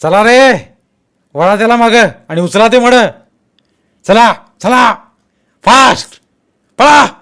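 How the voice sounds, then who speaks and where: Male narrator as coarse gang ringleader, raised high-pitched shout, menacing, insolent, commanding, audiobook drama. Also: slow pace, pauses often, exaggerated voice. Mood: barking orders. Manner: shouting, insolent, barking orders.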